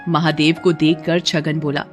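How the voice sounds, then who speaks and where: A storyteller narrates a scripted cartoon tale in a mid-pitched voice. Music plays behind the narration. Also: level tone, normal speed.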